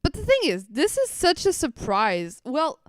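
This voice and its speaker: A woman's voice: high-pitched, wildly swooping pitch, measured pace, no echo. A woman streamer giving casual live reaction commentary, rambling and repeating phrases as she thinks aloud. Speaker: streamer reacting to a game livestream.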